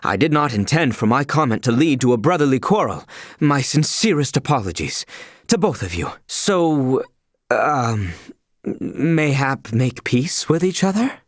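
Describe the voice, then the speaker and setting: An actor playing an earnest, proud young royal attendant in a video game, speaking in a formal, stiffly courteous, archaic way. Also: male voice, mid-range voice, sing-song, measured pace, no room sound, clean studio sound. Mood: flustered. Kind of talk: scripted game dialogue, archaic formal English.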